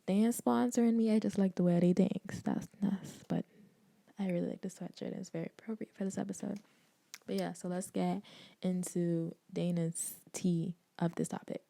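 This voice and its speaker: A young woman talks straight into a desk mic, her voice low-set with a gravelly edge and a deep, mellow tone. She hosts her own podcast in a candid, chatty way, laughing easily and tossing in slangy asides. The sound is close and dry. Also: fairly even intonation, moderate pace, no echo. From Bahamas. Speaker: podcast host. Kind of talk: casual solo podcast talk. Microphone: desk microphone.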